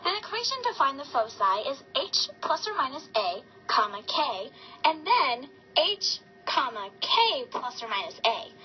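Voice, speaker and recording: A woman's voice: sits high, big pitch swings, a little slow, lo-fi sound, soft background music. A woman voicing a female sheep puppet who presents a maths lesson to kids. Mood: instructive.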